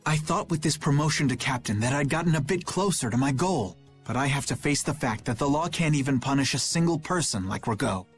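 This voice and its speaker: An actor playing a principled young knight captain in a video game, formal, solemn and sincere. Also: male voice, medium pitch, animated, brisk, few pauses, soft background music, lightly acted. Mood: troubled, grave.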